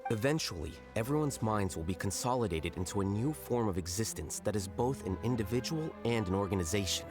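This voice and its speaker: A man acts a self-assured young scholar from a video game. His voice sits low, and he explains things in a measured, matter-of-fact way with cool bluntness. Soft music plays behind him. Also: lightly acted.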